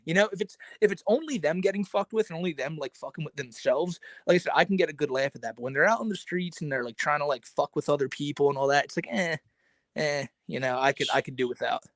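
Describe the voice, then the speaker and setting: A man's voice: on the high side, fast talker, dry recording. A man co-hosting a podcast, telling stories in casual chat with lots of filler words and frequent laughter. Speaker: podcast co-host.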